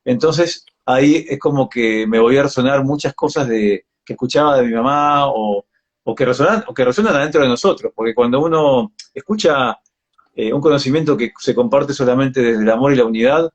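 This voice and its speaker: A man's voice: medium pitch, a little fast. Argentine man, slightly muffled lo-fi sound. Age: 40s. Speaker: yoga practitioner being interviewed. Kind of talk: casual live interview chat.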